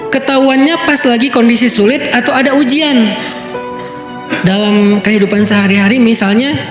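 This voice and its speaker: A man's voice: extremely high pitch, fairly even intonation, normal speed. Guy preaching a chatty sermon, roomy echo, faint music behind. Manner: conversational teaching, rhetorical questions, Arabic recitation. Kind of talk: Islamic sermon. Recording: echoey room with faint music.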